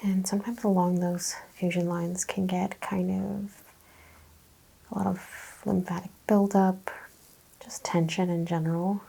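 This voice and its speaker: Gentle whispery woman in soft ASMR, slightly creaky. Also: on the low side, level tone, rough recording. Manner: gentle, often dropping into a whisper; explains and questions in short unhurried phrases. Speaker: ASMR host playing a chiropractor. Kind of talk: ASMR roleplay, soft-spoken exam.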